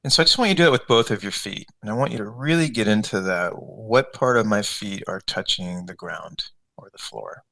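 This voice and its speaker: A man leading a guided meditation as a coach, giving unscripted, conversational instructions step by step with gentle repetition. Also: lowish voice, fairly quick, gravelly, few pauses, rough recording.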